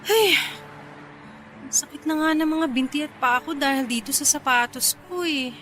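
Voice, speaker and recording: An actress playing a proud, easily irritated but bashful young office boss in a Filipino radio drama, with a Filipino accent. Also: female voice, sits high, normal speed, fairly bright tone, soft background music. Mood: exasperated. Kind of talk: radio drama acting in Taglish.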